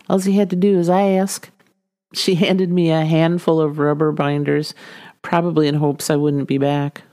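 A woman's voice: low voice, dark tone, dry recording, clean studio sound. A middle-aged woman from Minnesota with a light Minnesota accent, hosting a podcast and reading a wry, homey personal story. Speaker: podcast host. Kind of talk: scripted storytelling narration.

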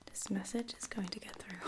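A woman playing a caring space lieutenant in a whispered ASMR roleplay, breathy, hesitant and soft-spoken. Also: medium pitch, steady tone, moderate pace, natural voice. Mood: reassuring. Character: caring, reassuring female space lieutenant tending a wounded soldier.

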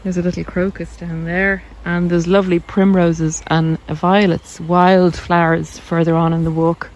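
An Irish woman with a slightly low voice speaks casually as she walks outdoors. She explains things in a reflective, informal way, pausing between thoughts as she addresses her viewers. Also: level tone, darkish tone, lo-fi sound. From Ireland. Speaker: video host, narcissism coach. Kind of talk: casual chat to camera while walking. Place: outdoors on a country walk.

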